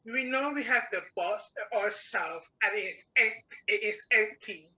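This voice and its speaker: Bright-voiced woman host asking questions. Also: medium pitch, measured pace, lo-fi sound. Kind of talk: webinar moderation. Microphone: video call.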